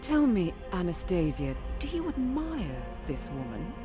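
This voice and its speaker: A woman's voice: fairly low pitch, big pitch swings, unhurried, music in the background. A woman playing a skeptical, challenging councillor in a fantasy game, with a pointed, questioning manner. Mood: probing. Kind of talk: scripted fantasy game dialogue.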